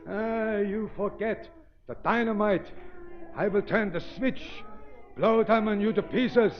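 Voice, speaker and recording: A vain mad doctor swelling into a stupid giant. He speaks slowly in halting, foreign-accented broken English, high in pitch and gloating. It is old radio melodrama on a noisy, muffled recording. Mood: gloating, menacing. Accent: foreign, non-native English. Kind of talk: 1930s radio acting.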